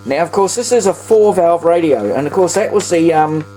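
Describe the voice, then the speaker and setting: Casual New Zealand hobbyist presenter, mid-pitched, meandering hands-on commentary, noisy home-recorded sound. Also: lively intonation, normal speed, warm tone. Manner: rambling, thinking aloud. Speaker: vintage radio hobbyist and presenter.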